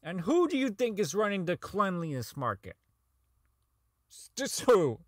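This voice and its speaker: A man playing a socially inept, bitter robotics engineer in an audio drama, rambling and stammering through profane log entries as he slowly comes unhinged. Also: high voice, big pitch swings, dry recording, clean studio sound, exaggerated voice. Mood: agitated. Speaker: voice actor in a fan-made audio drama. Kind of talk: dramatic monologue as a recorded log.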